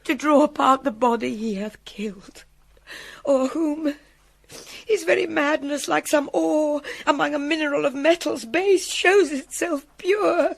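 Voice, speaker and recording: An actress playing a distraught queen and mother with a British accent, measured and intensely emotional in classical radio drama style. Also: high-pitched, creaky. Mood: grieving.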